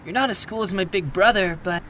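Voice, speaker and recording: A performer playing an eager, loyal little boy ninja in an audio drama, excitable and insistent. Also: mid-range voice, fairly quick, a little hiss or hum, natural voice. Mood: insistent, admiring. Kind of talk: fan audio drama acting.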